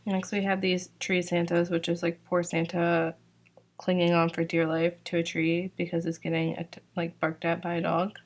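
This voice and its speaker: A woman's voice: fairly low pitch, monotone, normal speed. A young woman from Georgia, chatty and full of "like" and "um," walking through her shop's new sticker releases, her voice slightly worn. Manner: chatty, fillers like "like" and "um". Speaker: sticker shop owner presenting new releases. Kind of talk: casual product walkthrough.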